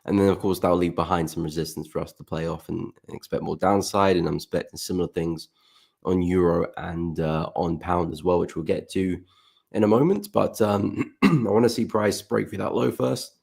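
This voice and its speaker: A man hosting a live market analysis stream, his voice croaky as he keeps clearing his throat, chatting relaxedly and greeting viewers by name. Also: low voice, steady tone, normal speed, darkish tone. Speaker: forex trading livestream host.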